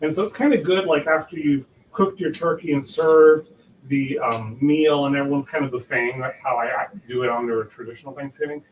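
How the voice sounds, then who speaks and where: A man's voice: fairly high pitch, fairly quick, a little hiss or hum, low-quality recording. A man hosting a casual live cooking demo, rambling with lots of fillers and restarts, in a home kitchen. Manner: says he has a loud voice. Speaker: cooking show host.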